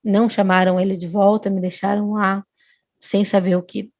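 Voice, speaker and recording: A woman's voice: medium pitch, little pitch movement, moderate pace, darkish tone, lo-fi sound. A woman, a guest telling a long personal story in casual chat, often restarting and adding tag questions. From Brazil.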